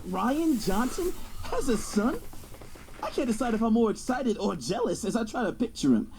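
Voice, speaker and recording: A man's voice: very high voice, big pitch swings, normal speed, exaggerated voice. An actor playing a proud young college quarterback who narrates his own story in an audio drama. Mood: unsettled. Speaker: narrator and lead character.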